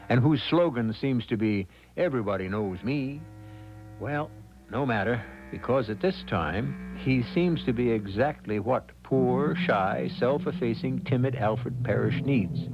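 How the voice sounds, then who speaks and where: A man hosting a 1970s radio suspense drama, narrating from a script in a theatrical, deliberate manner. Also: medium pitch. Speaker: host and narrator. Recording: dull vintage radio, prominent music.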